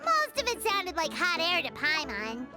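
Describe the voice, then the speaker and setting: A woman voicing a small, childlike fairy companion in a video game, cheeky, excitable and sassy. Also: extremely high pitch, normal speed, over loud music, heavily acted. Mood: cheeky, wary. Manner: refers to self in third person, sassy.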